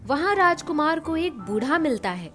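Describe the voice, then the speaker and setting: A woman narrates a children's fairy tale in a scripted storytelling style. Her voice is high-pitched, and music plays clearly behind her. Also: big pitch swings, normal speed, fairly bright tone.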